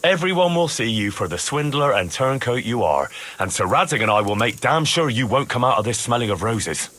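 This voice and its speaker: An actor playing an earnest, principled young soldier who argues loyally and challengingly in a video game. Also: male voice, mid-range voice, sing-song, fairly quick, fairly bright tone. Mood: reproachful. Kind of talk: dramatic video game dialogue.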